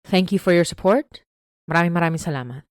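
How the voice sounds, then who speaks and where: A woman's voice: lowish voice, quick pace, dry recording. Female podcast host, enthusiastic scripted announcements, dry recording. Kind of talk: scripted announcements and thanks to listeners.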